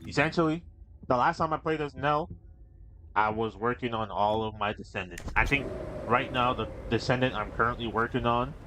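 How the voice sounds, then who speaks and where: A man's voice: medium pitch, normal speed, pushed and bright, faint music behind, rough recording. A man casually commentating his own gameplay, laid-back and swearing often. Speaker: gameplay streamer.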